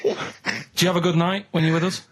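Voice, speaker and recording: A man's voice: high voice, level tone, quick pace. A British man, a radio show regular, chatting casually in a blunt, defensive way. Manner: plain-spoken, defensive.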